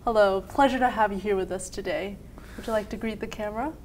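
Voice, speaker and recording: Female TV host, attentive, admiring interviewer, mid-pitched. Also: fast talker, darkish tone, slight background noise, rough recording. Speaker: TV talk show host. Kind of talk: interview questions.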